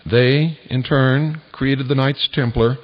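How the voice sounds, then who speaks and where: An American man hosting a talk radio monologue, speaking deliberately with dramatic pauses and a portentous, preaching manner, on an old shortwave recording. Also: medium pitch, steady tone, lo-fi sound. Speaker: radio show host. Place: radio broadcast.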